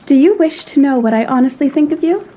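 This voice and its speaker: Woman acting young lady, period audio drama, mellow tone, refined, frank, earnest, proud, faint background noise. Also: sits high, expressive, quick pace. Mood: frank, disdainful. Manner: refined, articulate, reproachful, earnest.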